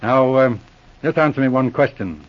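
An actor as a shrewd, brisk Mountie sergeant. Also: male voice, medium pitch, expressive, natural voice. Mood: calm, probing. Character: shrewd, self-assured Mounted Police sergeant, brisk detective. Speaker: actor in a radio serial. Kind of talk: 1940s radio acting. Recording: dull lo-fi vintage radio recording.

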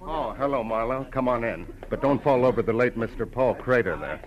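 An actor playing a matter-of-fact police detective, dry and colloquial, in 1940s radio crime drama style. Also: male voice, mid-range voice, brisk, close to an everyday voice. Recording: dull old radio recording, crowd noise.

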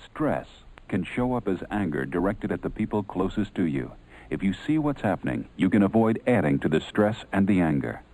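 A man with a deep, expressive voice delivers a public service message. He is measured and instructive, with clear noise on the old broadcast. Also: rough recording. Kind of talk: scripted advice narration.

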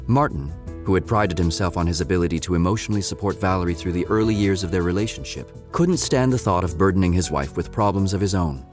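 A man's voice: low voice, darkish tone. A man reading scripted narration as the narrator of an audio drama.

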